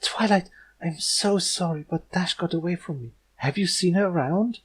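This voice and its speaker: A man voicing a timid, apologetic young female cartoon pony, very soft and hesitant. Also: sits high, moderate pace, slight background noise, voice pushed up. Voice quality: very soft, barely audible. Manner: hesitant, meek.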